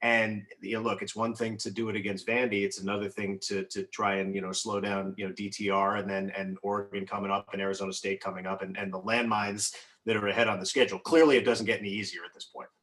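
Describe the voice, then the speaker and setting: Husky, low-voiced American sportscaster. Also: male voice, flat delivery, quick pace, a bit bright. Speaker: radio play-by-play announcer, guest analyst. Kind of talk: conversational sports analysis.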